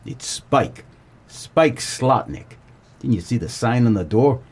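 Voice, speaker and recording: An actor playing a wry, world-weary 1940s private eye, narrating a comedic noir radio play. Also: male voice, medium pitch, slow pace, slightly rough, full character voice. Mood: indignant. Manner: wry, deadpan, colloquial.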